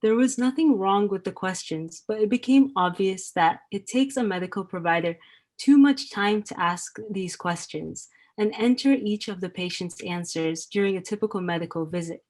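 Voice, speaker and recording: Casting: woman, average pitch, scripted educational panelist read. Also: normal speed, darkish tone. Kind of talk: scripted explanation.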